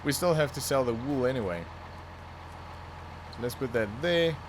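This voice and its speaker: A man's voice: mid-range voice, lively intonation, moderate pace, background noise. A young man, not a native English speaker, commenting casually on his own gameplay, thinking aloud with fillers and restarts. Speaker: gaming channel host.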